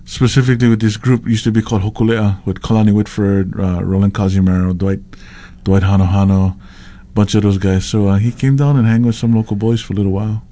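A middle-aged man from Hawaii, a slack key guitarist, telling stories in a relaxed, rambling way on a radio interview. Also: on the low side, fairly quick. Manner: easygoing, rambling, warm laughs between stories.

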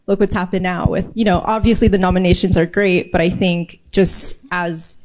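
A woman's voice: fairly low pitch, steady tone, normal speed, a little mellow. A woman hosting a casual interview, speaking informally and effusively with plenty of "like" as filler. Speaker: interviewer, host.

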